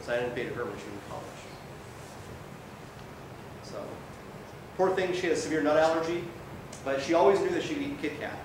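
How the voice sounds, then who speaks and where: A man's voice: fairly quick, pauses often. Man lecturing in a very echoey room. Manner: conversational, often checking in with "right?" and "okay?". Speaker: emergency physician lecturing. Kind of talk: informal medical lecture with anecdotes. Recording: very echoey room, distant mic, noisy rumble.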